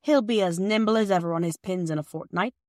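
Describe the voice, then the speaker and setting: A woman's voice: on the low side, quick pace, a little mellow, no room sound, clean studio sound, close to an everyday voice. A woman voicing a jolly, reassuring male country doctor. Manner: hearty and reassuring.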